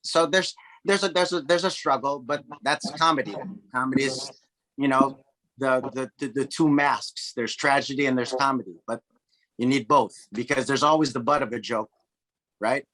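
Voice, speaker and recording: A man, a comedian, answering interview questions in a frantic way with many quick restarts. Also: medium pitch, fairly even intonation, a bit bright, low-quality recording. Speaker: comedian guest.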